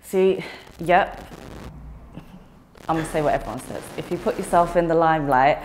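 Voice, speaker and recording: A woman's voice: deep voice, moderate pace, slight background noise. A young woman being interviewed in a studio, talking frankly and casually with frequent ums and easy laughter. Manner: frank, relaxed, laughs easily, many ums.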